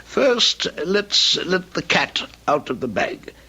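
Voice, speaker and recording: A man's voice: high-pitched, sing-song, slow, pushed and bright, breathy edge, exaggerated voice. An actor playing a wary, haggling old moneylender in a radio drama, hesitant and fussy in his phrasing.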